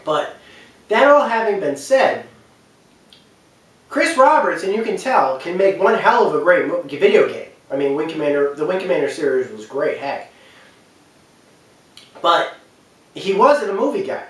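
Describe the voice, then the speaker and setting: A man's voice: high voice, big pitch swings, measured pace, pauses often, a bit bright. A man giving an unscripted, rambling opinion rant, repeating his points for emphasis. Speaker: online video commentator. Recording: echoey room, distant from the mic.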